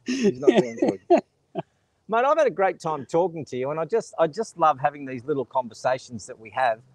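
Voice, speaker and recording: A man's voice: on the high side, very animated, brisk, no echo. A man with an Australian accent hosting a casual conversation, joking, laughing often and reading out viewer comments. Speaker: host. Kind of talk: casual chat.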